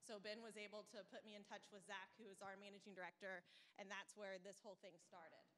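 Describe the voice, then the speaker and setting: A young woman moderating a panel in a lecture room with a handheld mic, talking briskly and upbeat. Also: medium pitch, fairly even intonation, fairly bright tone. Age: young adult. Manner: brisk, upbeat, lots of fillers. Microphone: handheld microphone.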